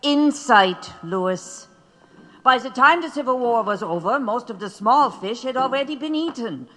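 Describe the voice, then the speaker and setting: An actor playing a wry, fatalistic anarchist prisoner who lectures sardonically in an audio drama. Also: male voice, very high voice, lively intonation, measured pace, sharp tone, full character voice. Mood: lecturing. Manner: pontificating, sardonic. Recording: long hall reverb.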